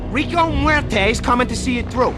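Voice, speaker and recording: An actor playing a whiny, high-strung mob underboss giving orders in a nervy, bossy, threatening manner. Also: male voice, moderate pace. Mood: tense, threatening. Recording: music behind the voice.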